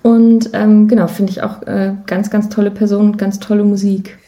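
A woman's voice: mid-range voice, level tone, normal speed, mellow, dull audio. A woman speaking as an interview guest in casual chat, hesitant and thoughtful in how she puts things. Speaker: podcast guest, musician and songwriter.

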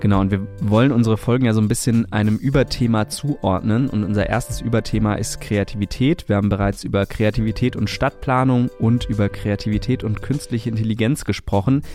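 A man's voice: fairly low pitch, fairly even intonation, a little fast, hardly any pauses, dark tone, faint music behind. A man co-hosting a podcast in a conversational style. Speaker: podcast co-host.

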